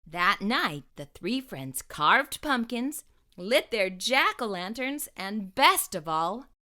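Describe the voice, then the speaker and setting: Female narrator for a kids' picture book: bright voice with very lively, expressive intonation. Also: mid-range voice, slow pace. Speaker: children's story reader. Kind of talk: expressive read-aloud storybook narration.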